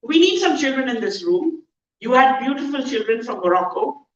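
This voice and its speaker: Indian woman keynote speaker, fairly high voice, earnest and measured, distant dull hall sound. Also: lively intonation. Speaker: conference speaker. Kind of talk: conference keynote talk. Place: large conference hall.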